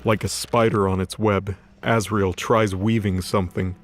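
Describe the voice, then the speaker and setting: Low-voiced man narrating dramatic fiction. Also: little pitch movement, normal speed, a little hiss or hum. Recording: music behind the voice. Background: music.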